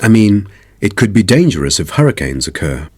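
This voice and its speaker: A man's voice: fairly low pitch, sing-song, a little fast, darkish tone, breathy edge, dry recording, clean studio sound. A man playing a curious, appreciative questioner in a scripted listening-test dialogue. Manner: inquisitive.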